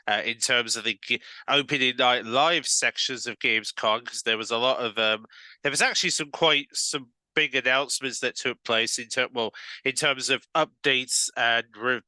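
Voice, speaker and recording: A man's voice: mid-range voice, normal speed, pushed and bright, no room sound, poor audio. A man with a cold hosting a casual gaming news update, rambling with many fillers and restarts. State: has a cold.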